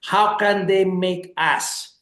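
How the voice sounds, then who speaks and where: A man's voice: high-pitched, fairly even intonation, takes it slowly, fairly bright tone, echoey room. A man from Colombia hosting a webinar over a video call, talking with enthusiasm and stressing points by repeating words. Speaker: webinar host.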